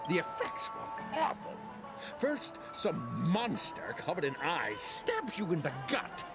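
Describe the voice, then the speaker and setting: A man's voice: high voice, wildly swooping pitch, takes it slowly, full character voice. An actor playing an elderly, disgruntled man in a video game, complaining with scornful exaggeration. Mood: disgusted. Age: older. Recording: music behind the voice.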